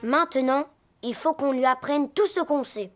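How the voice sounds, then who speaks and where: A woman's voice: sits high, moderate pace, close to an everyday voice. A woman playing a cautious, kindly little boy in a children's cartoon, speaking in a polite, earnest way. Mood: earnest, explanatory. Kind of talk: French-language children's cartoon dub acting. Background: children's music.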